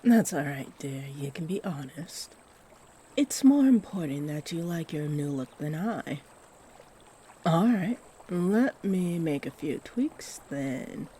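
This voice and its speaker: A kindly, doting fairy played by a woman speaks to the listener in a fantasy audio roleplay. Her voice is mellow and unhurried, swooping expressively as she gently fusses and encourages. A faint wash of stream ambience sits behind her. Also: sits low, lively intonation, a little hiss or hum. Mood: thoughtful, fussing. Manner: gentle, encouraging, fussing, with sweet endearments. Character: kindly, doting fairy who gives magical makeovers.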